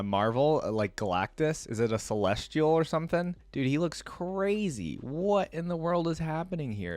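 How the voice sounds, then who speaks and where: A man's voice: mid-range voice, a little mellow, no echo. A man giving casual reaction commentary, thinking aloud in informal, slangy language. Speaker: reaction video creator.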